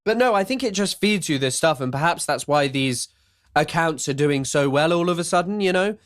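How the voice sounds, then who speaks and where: A man hosting a podcast in casual chat, with a loud voice and a rambling way of talking full of restarts. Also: fairly high pitch, lively intonation, a little fast. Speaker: podcast host.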